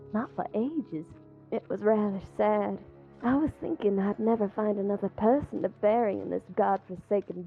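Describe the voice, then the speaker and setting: A woman playing a lonely young gravedigger in a visual novel, resigned and quietly deranged, speaking haltingly and matter-of-factly about morbid things. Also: medium pitch, lightly acted. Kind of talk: visual novel character voice acting. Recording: thin phone-like audio with music behind. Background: music.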